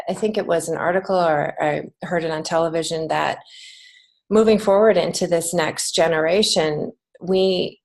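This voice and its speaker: A woman speaking as a podcast guest in a conversational interview, earnest, reflective and emphatic. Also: deep voice. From United States. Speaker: podcast guest, children's author and creative writing teacher.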